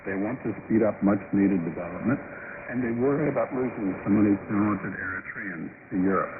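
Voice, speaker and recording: Guy reading a news report, lowish voice, super staticky thin shortwave radio. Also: brisk. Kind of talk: scripted news report narration. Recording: very noisy, tinny shortwave radio broadcast.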